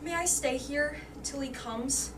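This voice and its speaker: A woman's voice: high-pitched, measured pace. A woman playing an eager, girlish young friend in a staged play reading. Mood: eager, pleading. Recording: distant mic, background noise.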